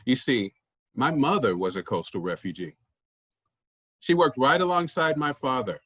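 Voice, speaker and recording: A man's voice: mid-range voice, sing-song, normal speed, a bit bright, breathy edge, no echo, studio quality. A man playing a humble farmer who leads a traveling troupe of storytellers, giving an earnest, formal monologue in a staged play reading.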